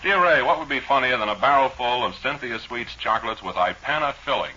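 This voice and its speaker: A man's voice: mid-range voice, expressive, a little fast, bright, forceful tone, breathy edge, highs cut off. A man hosting a 1940s live radio show, chatting and reading listener mail in a rambling, dryly humorous, mock-serious way, on an old broadcast recording.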